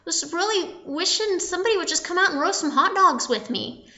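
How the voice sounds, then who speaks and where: A high, bright young shepherd boy from a children's story, acted out by a woman. He is bored and prone to fibs, and pleads like a child. The sound is a little dull and lo-fi. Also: animated, fairly quick, exaggerated voice. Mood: bored, sheepish.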